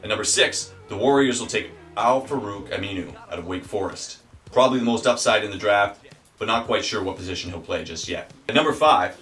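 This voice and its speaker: A man giving a wry, deadpan sports commentary, auditioning as a sportscaster. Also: on the low side, a little fast, recorded from a distance, soft background music. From Canada. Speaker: aspiring sportscaster.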